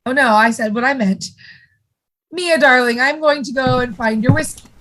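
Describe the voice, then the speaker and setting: A woman's voice: sits high, normal speed, background noise, lightly acted. An actress playing a saccharine, pushy sitcom mother, gushing and primly chiding in a comedic radio play.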